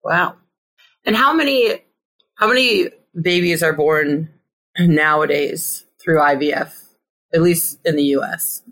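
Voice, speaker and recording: Podcast host lady from connecticut, deep for a woman, playful and chatty. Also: slow pace, fairly bright tone, rough recording. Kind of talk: upbeat interview hosting.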